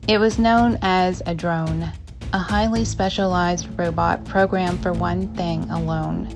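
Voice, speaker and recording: A woman's voice: fairly low pitch, steady tone, measured pace, creaky. A woman reading scripted dramatic narration as the narrator of an audio drama. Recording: music behind the narration. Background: background music.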